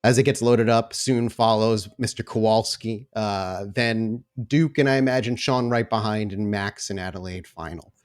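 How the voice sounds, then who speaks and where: A man's voice: fairly low pitch, normal speed, few pauses, clear, resonant tone. Man narrating a tabletop roleplaying game. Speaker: game master.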